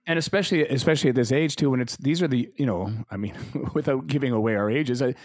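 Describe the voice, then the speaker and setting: A man's voice: medium pitch, quick pace, dry recording. Chatty middle-aged Canadian man, dry sound. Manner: chatty, laughs often, repeats words. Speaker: interview guest, keyboardist. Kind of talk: casual conversation.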